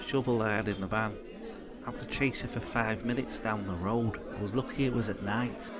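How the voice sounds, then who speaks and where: A man's voice: fairly low pitch, steady tone, normal speed, over a noisy crowd, lightly acted. A man acting an ordinary working bloke turned criminal recruit, speaking casually and a bit guardedly with a British accent. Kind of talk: naturalistic audio drama dialogue.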